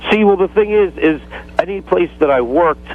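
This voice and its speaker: A man is heard through a narrow, tinny phone connection as a radio caller. His casual talk is halting and earnest, and he sidesteps as he explains himself. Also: high-pitched, lively intonation, noisy background.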